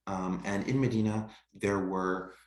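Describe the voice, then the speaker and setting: Low-voiced male lecturer in a roomy echo. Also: steady tone, a little slow. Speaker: history lecturer. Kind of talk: online history lecture.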